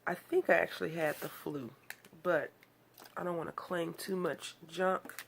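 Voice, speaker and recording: A woman with a cold talks through a card-making tutorial in a low, gravelly voice. She sounds a bit nasal and rambles in a chatty, self-deprecating way. Faint noise sits behind her. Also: a little slow. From Texas, USA. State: has a cold. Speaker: craft video host.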